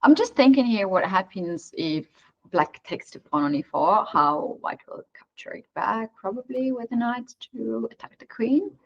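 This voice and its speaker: A woman's voice: fairly high pitch, expressive, moderate pace, creaky, muffled recording. A young woman with a Georgian accent commentating on chess, hesitant with many fillers and frequent laughs.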